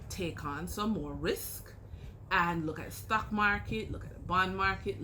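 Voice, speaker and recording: A woman's voice: on the low side, fairly bright tone, low-quality recording. Woman coaching over background noise. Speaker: financial coach. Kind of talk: scripted educational advice segment.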